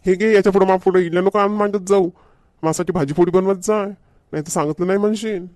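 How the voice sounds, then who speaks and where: A man's voice: high-pitched, steady tone, fast, darkish tone, no echo, lightly acted. A man playing a grumbling, demanding village husband in a comedy cartoon, with a Varhadi Marathi accent and a nagging, repetitive manner. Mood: indignant, bossy. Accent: Varhadi Marathi from Vidarbha.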